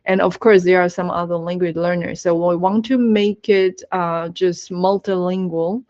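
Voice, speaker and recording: A woman's voice: fairly low pitch, fairly even intonation, normal speed, darkish tone, dry recording, dull audio. A woman from Taiwan with a Taiwanese accent, speaking English as a webinar guest, earnest and laughing often, with many fillers. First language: Taiwanese Hokkien. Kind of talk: presenting in a webinar.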